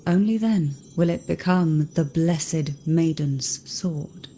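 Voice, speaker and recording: Slow, mellow, enigmatic woman. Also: low voice, faint music behind. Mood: solemn. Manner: measured, composed, solemn. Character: calm, enigmatic, knowing woman guardian of a sacred sword. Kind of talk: fantasy game voice acting.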